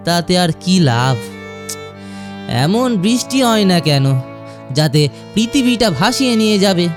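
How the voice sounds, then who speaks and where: A luckless, self-pitying fisherman in a cartoon folk tale. His delivery swings widely in pitch and is hesitant and mournful. Music sits clearly behind him. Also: big pitch swings, a little slow. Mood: despairing. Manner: lamenting, hesitant, stammering. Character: poor despairing male fisherman, self-pitying, simple. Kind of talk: Bengali fairy-tale cartoon acting.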